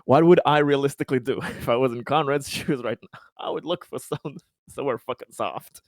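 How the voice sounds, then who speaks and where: A man's voice: medium pitch, brisk, no room sound, studio quality. A man playing in a tabletop roleplaying game, chatting casually in a playful, joking, foul-mouthed way.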